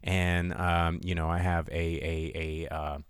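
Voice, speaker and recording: Deep-voiced American podcast host, dry sound. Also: male voice, flat delivery, slow, smooth, dry recording. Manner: rambling, informal, self-interrupting. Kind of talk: casual live stream chat.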